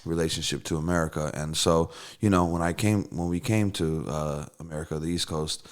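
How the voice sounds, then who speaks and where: A man's voice: extremely low pitch, fairly even intonation, a little fast, smooth. A middle-aged man from Russia whose first language is Russian, telling stories casually in an interview with lots of fillers and restarts. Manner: rambling with many fillers and restarts. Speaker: songwriter and producer guest.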